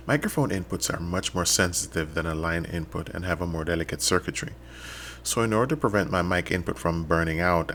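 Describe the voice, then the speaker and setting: A man's voice: sits low, fairly bright tone, slight background noise. A man giving a scripted tutorial narration in a methodical, explanatory way. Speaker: instructor and DJ.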